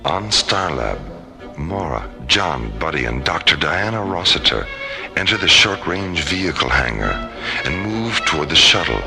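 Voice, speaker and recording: A man delivering measured, portentous scripted narration for a science fiction radio drama. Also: very deep voice, big pitch swings, creaky. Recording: narration over prominent music.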